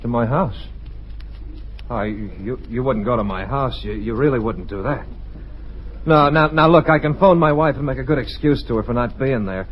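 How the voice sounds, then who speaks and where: A man playing a desperate, pleading husband held hostage in an old-time radio drama, stammering and halting. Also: medium pitch, natural voice, poor audio. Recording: old radio recording with background hiss.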